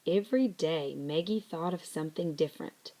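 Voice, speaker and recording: A woman narrating a children's picture book aloud in a deliberate, expressive way. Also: sits low, low-quality recording. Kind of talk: scripted children's story narration.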